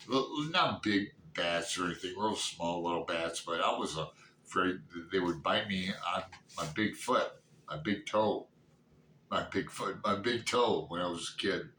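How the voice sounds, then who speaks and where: Bright, echoey Midwestern American man, rambling. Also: low voice. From Toledo, Ohio, United States. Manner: halting, digressive, self-questioning. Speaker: book vlogger hosting his channel. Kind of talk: casual rambling chat to viewers. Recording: echoey room, clear background noise.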